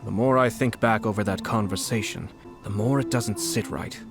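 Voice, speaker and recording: A man voicing a young male clan head in a video game, speaking in a measured, polite and formal way. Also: lowish voice, creaky, music in the background. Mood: composed. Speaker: clan leader. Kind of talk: game voice acting.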